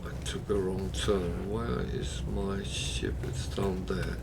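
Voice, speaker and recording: A slow-talking man gives casual commentary on his game, his husky, crackling voice low and gravelly. He muses aloud, stopping on plenty of fillers. Steady hiss sits under the noisy stream recording. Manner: unhurried, thinking aloud with many fillers.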